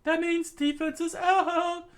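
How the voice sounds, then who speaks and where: A man voicing a desperate young male hero who shouts orders in a strained, high voice. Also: flat delivery, takes it slowly. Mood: urgent shouting.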